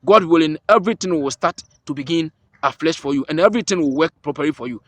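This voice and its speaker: A man's voice: on the high side, fast, a bit bright, no echo. A man with a Ghanaian accent, hosting an herbal remedy channel, explains a ritual step by step and repeats every point with emphasis. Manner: repetitive, emphatic, restates each step. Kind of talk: step-by-step spoken instructions.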